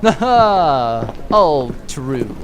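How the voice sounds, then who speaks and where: A man's voice: on the high side, big pitch swings, very slow, background noise, full character voice. Man doing a boastful pirate major. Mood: smug. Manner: blustering, theatrical commands. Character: swaggering one-eyed pirate major, vain and boastful. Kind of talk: comedy audio drama.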